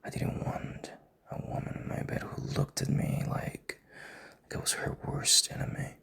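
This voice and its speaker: A man playing a proud, stubborn king in an intimate audio drama, commanding, with breathy, gravelly half-whispers. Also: moderate pace, a bit bright, close to an everyday voice. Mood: bitter. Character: proud, stubborn, commanding young king, bitter rival husband.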